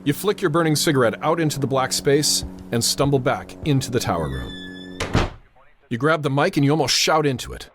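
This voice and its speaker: An actor playing a tense, authoritative airfield controller in a radio drama, narrating and giving orders with clipped urgency. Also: male voice, mid-range voice, sing-song, normal speed, music in the background, close to an everyday voice. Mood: grave suspense. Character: tense, commanding male airfield tower controller narrating a crisis.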